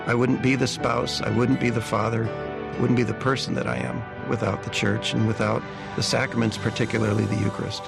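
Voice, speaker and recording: A man giving a quiet, heartfelt testimony in a promotional spot. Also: medium pitch, little pitch movement, fairly quick, gravelly, over loud music.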